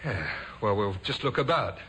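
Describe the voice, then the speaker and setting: An actor playing a smooth-talking young handyman conman with glib, folksy patter, in 1950s radio drama style. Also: fairly low pitch, animated, normal speed, sharp tone. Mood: ingratiating. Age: young adult. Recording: noisy old 1950s radio recording.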